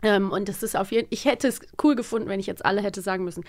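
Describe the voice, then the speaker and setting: A woman's voice: medium pitch, very fast. A woman co-hosting a podcast, chatting casually with playful, teasing quiz banter. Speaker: podcast co-host.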